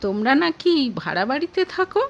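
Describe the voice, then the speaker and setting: A woman's voice: sits high, sing-song, moderate pace, vocal fry, a little hiss or hum, heavily acted. A woman voicing a haughty, scornful middle-aged mother in an audio story, interrogating and snapping with contempt. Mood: contemptuous.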